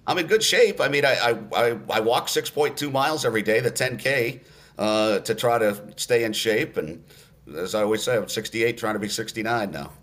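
A man in his sixties, a radio play-by-play announcer, telling stories in a relaxed interview, unhurried with many fillers and restarts. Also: mid-range voice. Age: 60s.

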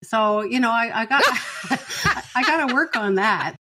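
A woman's voice: fairly high pitch, lively intonation, slow pace, noisy background. A middle-aged woman co-hosting a podcast, chatting casually and teasing playfully. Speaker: podcast co-host. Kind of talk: casual chat.

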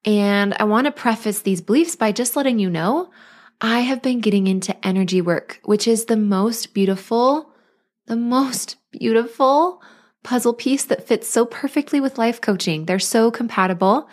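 A woman's voice: fairly high pitch, normal speed. Bubbly, chatty woman podcast host. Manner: bubbly, chatty and earnest, with playful laughs. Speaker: podcast host and life coach. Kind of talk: casual solo podcast monologue.

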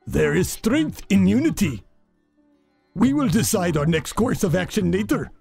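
A man's voice: sits high, very animated, vocal fry, darkish tone, slightly rough, full character voice. Man playing a grand, theatrical king of doves. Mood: commanding, rallying. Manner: slow, grand, theatrical declaiming. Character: wise, noble male king of doves, commanding. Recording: long reverb with music behind.

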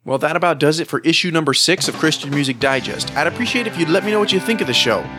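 A man's voice: on the high side, lively intonation, quick pace. A man hosting a podcast, delivering a scripted show sign-off. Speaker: podcast host. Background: guitar music.

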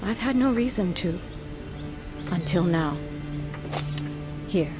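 A low-voiced, middle-aged mother in a fantasy audio drama. She is solemn and grieving, speaking with measured weight as if handing down an old tale, with music clearly underneath. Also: animated. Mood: solemn, reverent. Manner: measured, weighty, storytelling delivery. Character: grieving, solemn mother, proud wolf queen's daughter.

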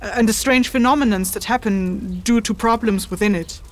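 A woman's voice: mid-range voice, moderate pace, a little hiss or hum, natural voice. A woman playing a blunt, practical and skeptical traveling fixer in a fantasy audio drama. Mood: explaining, apologetic.

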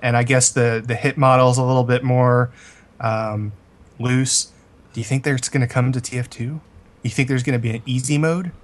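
A man's voice: medium pitch, fairly even intonation, normal speed. An American man co-hosting a podcast with casual, wisecracking chat. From Alabama, United States. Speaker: podcast co-host.

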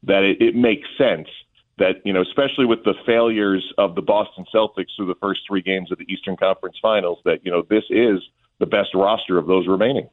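Over a thin, phone-quality line comes a very low-pitched man. He is a sports announcer appearing as a radio guest, talking through his analysis conversationally, in a measured and discursive manner. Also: steady tone.

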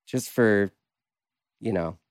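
A man co-hosting a podcast, bantering casually with dry, deadpan jokes. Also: on the low side, slow, no echo. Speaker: podcast co-host.

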